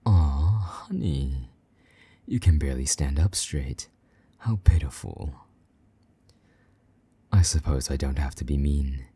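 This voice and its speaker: A man playing a possessive, condescending vampire knight in an intimate roleplay, taunting and commanding in a husky, breathy half-whisper. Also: very deep voice, slow pace, pauses often, warm tone, full character voice. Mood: mocking, softening. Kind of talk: intimate roleplay audio drama.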